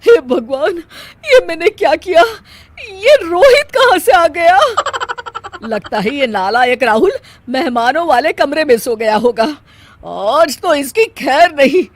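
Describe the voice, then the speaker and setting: A woman's voice: sits high, lively intonation, a little slow, no echo, voice pushed up. A woman voicing a scheming, grumbling middle-aged mother-in-law in a story, with sarcastic, muttering asides. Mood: panicked, wailing.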